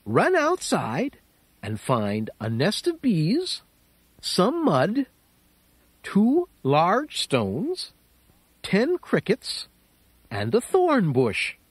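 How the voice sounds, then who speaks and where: A storyteller voicing a small fussy mouse who wants quiet, with music playing behind. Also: mid-range voice, huge pitch swings, drawn-out words, pauses often, slightly rough, dry recording, voice pushed up, heavily acted. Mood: sly. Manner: pleading, exclaiming.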